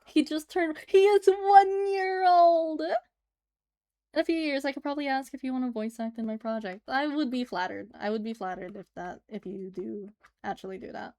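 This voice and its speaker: Woman, livestreamer, casual drawing-stream chat, giggly, chatty, slightly high voice. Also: steady tone, moderate pace, warm tone.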